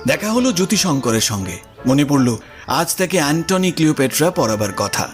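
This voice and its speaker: Expressive male narrator with lively pitch. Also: a little fast. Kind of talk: scripted story narration. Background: music.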